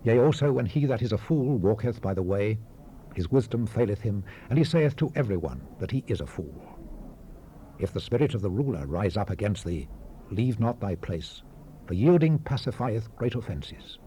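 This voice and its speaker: Casting: male actor as grave biblical preacher-sage for dramatized scripture; lowish, dark tone, solemn measured delivery, weary wisdom, faint 1970s tape hiss. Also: lively intonation, natural voice. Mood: solemn, reflective. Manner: measured, oratorical, emphatic rises and hushed falls. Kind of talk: solemn dramatized Bible reading. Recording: hissy 1970s cassette rip, background ambience.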